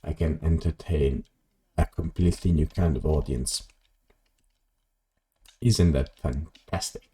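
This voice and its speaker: This is a young man with a very deep, mellow voice. He rambles casually to his stream audience, stumbling through ums and restarts and joking about his own mess. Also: unhurried. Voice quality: very low voice. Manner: rambling, self-deprecating, many ums and restarts. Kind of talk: casual live-stream chat while gaming.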